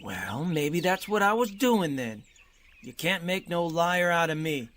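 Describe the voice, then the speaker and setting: A man acting in a radio drama as a stubborn, spiteful working-class neighbor, defensive and folksy, with rough grammar. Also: a little high, big pitch swings, measured pace, a bit bright.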